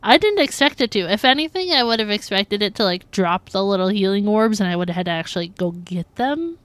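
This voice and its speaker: A woman's voice: medium pitch, lively intonation, brisk. A woman livestreaming a game, reacting casually and chatting informally with her viewers. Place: livestream, game audio behind.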